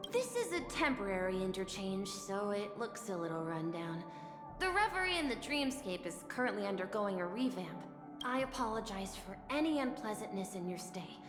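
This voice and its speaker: An actress playing a gentle, eager, apologetic young female guide who sounds polite and earnest in a video game. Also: a little high. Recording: echoey hall reverb, music behind.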